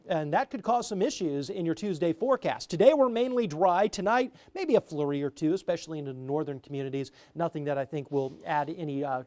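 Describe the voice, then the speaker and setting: A man's voice: on the high side, darkish tone. A man delivering a morning TV weather forecast in a chatty, easygoing way. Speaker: meteorologist.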